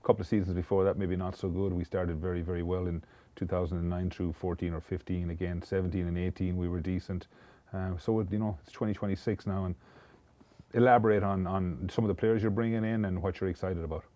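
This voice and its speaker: Deep, mellow-voiced podcast host man. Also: flat delivery, fast, no room sound. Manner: rambling, chatty, with many restarts. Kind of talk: casual interview podcast.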